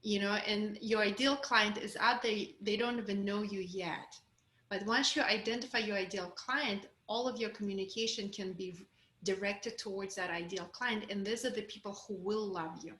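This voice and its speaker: A woman's voice: medium pitch, fairly even intonation, normal speed, sharp tone, reverberant. A woman with a Russian accent coaching a group on a video call, candid and encouraging. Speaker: course coach.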